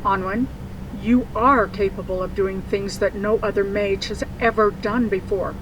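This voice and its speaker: A woman playing an earnest mage mother in a fantasy audio drama, speaking in a measured, solemn way. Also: mid-range voice, fairly even intonation, background noise, lightly acted. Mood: regretful. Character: earnest instructing mage mother, gently admonishing.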